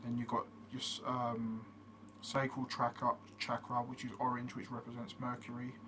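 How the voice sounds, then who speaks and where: A man hosting his own channel, giving a casual explanatory monologue in a steady way, with frequent fillers. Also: medium pitch, moderate pace. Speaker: channel host. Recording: noticeable background noise.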